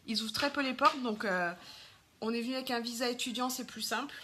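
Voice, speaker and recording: A woman's voice: on the high side, quick pace, background noise. A woman from France in her thirties with a French accent, chatting casually on a makeup live stream and greeting viewers. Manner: chatty, lots of fillers, greets viewers while doing makeup.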